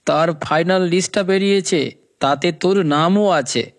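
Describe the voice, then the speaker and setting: A man's voice: high voice, unhurried. A man voicing an eager, familiar young friend in a cartoon story. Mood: excited. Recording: noisy background ambience.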